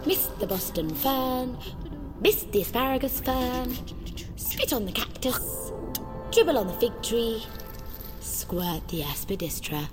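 A woman voicing a calm, controlling female AI assistant that gives precise, soothing commands in an audio drama. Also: a little high, takes it slowly, over music. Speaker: narrator and AI voice.

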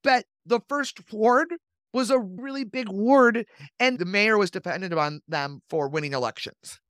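A woman's voice: mid-range voice, unhurried, dry recording, studio quality. A woman hosting a podcast, talking casually and digressively about history. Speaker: podcast host.

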